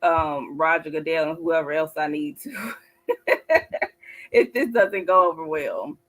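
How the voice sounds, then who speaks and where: A woman co-hosting a casual sports talk show, chatty and quick to laugh. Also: sits low, fairly bright tone. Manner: chatty, laughs often, drawn-out asides. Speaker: co-host.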